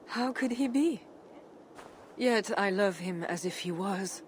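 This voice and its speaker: A woman playing a dignified, guarded and pious medieval Frankish queen in measured, formal video game dialogue. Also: medium pitch, a little hiss or hum, close to an everyday voice. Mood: earnest, resolute. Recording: music behind the voice.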